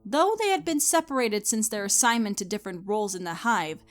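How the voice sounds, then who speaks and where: A woman narrator reads a dramatic story from a script. Her pitch is average for a woman, and music plays softly underneath. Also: very animated, fairly quick.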